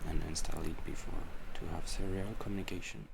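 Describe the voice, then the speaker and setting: A man with a French accent, a native French speaker, giving a hesitant, unscripted software tutorial with frequent pauses. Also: deep voice, steady tone, vocal fry, background noise. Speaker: tutorial presenter.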